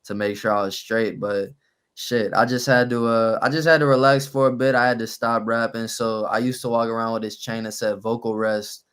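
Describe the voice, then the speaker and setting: A young man from New Orleans with a raspy voice, a guest rapper talking in a laid-back, measured way with frequent pauses in a casual podcast interview. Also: medium pitch, little pitch movement, a bit bright. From New Orleans, USA.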